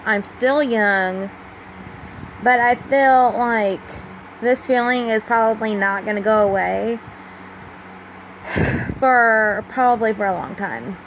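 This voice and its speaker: A woman of twenty-five talking in an emotional, halting way into a phone held close, at home at night. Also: a little high, poor audio. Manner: emotional, halting, with many pauses and fillers. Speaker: vlogger. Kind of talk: confessional personal vlog. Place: small room at home at night, fan running. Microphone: phone held close to the face. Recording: faint fan hum in a home room. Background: faint fan hum.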